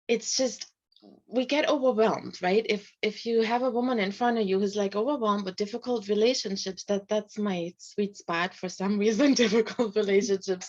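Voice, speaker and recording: Soft-spoken middle-aged woman on a video call. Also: medium pitch. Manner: soft-spoken, often laughs lightly. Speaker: guest, life coach. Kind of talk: casual interview chat. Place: home room. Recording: slightly dull video call audio.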